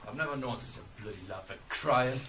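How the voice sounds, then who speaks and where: An actor playing a proud, gruff farm wagoner with a blunt, needling manner in a 1980s radio drama. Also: male voice, mid-range voice, brisk, sharp tone, a little hiss or hum, close to an everyday voice. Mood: scornful.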